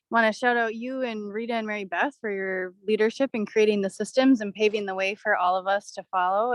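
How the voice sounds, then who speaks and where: A woman's voice: mid-range voice, dry recording. A woman on a team video call, thanking colleagues gratefully. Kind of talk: casual video-call speech.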